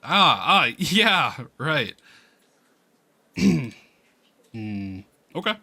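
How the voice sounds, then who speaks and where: A man voicing a sleepy, embarrassed high school boy who narrates a visual novel in a hesitant, self-conscious way. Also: sing-song, drawn-out words, vocal fry, pushed and bright, slightly rough, heavily acted. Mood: flustered, hesitant. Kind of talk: visual novel read-aloud.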